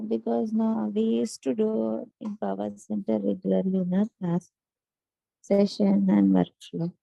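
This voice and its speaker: A female student with a heavily creaky, gravelly voice puts questions to her teacher. She phrases them hesitantly. The sound is dull and lo-fi, with no room echo. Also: mid-range voice, flat delivery, measured pace, warm tone. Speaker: student in online class. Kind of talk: asking questions in a lesson.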